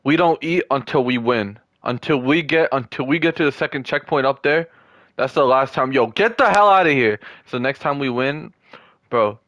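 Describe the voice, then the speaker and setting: A man's voice: medium pitch, animated, moderate pace, a bit bright, no echo. A young man in casual gaming chat with a friend, joking and trash-talking and often repeating his words. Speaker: gamer.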